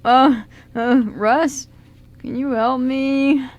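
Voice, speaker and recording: A woman's voice: high voice, fairly even intonation, drawn-out words, no echo, muffled recording. A woman playing a jittery, wisecracking young male housebreaker in an amateur radio drama, with a casual, teasing delivery. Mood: panicked.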